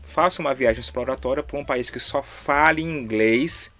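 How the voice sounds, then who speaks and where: A man's voice: mid-range voice, normal speed, a bit bright, faint music behind. A middle-aged Brazilian man co-hosting in casual chat, speaking Brazilian Portuguese in an earnest, encouraging way. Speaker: co-host.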